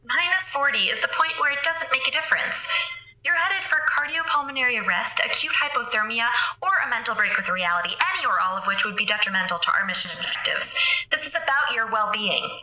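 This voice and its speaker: Bright, echoing, prim station AI. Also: female voice, a little high, animated, fairly quick. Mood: firm, clinical. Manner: formal, precise, matter-of-fact. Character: prim, dryly calm female station artificial intelligence. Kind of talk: modern radio drama acting. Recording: echoing loudspeaker sound, faint music.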